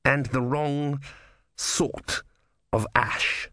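A cold inquisitor acted out by a man, precise and menacing. He muses in measured phrases, as a storybook villain in a dry recording. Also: medium pitch, expressive, sharp tone, no room sound, full character voice. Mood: cold, musing. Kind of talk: audiobook character voice.

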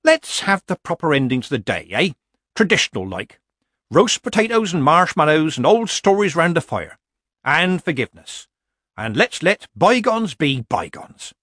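A man's voice: sits high, wildly swooping pitch, moderate pace, few pauses, fairly bright tone, dry recording, studio quality, pitched above the performer's own voice, full character voice. A man voicing an old country witch in an audiobook story, a sly woman with a folksy, modest, deceptively mild way of talking. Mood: pleasant, sly.

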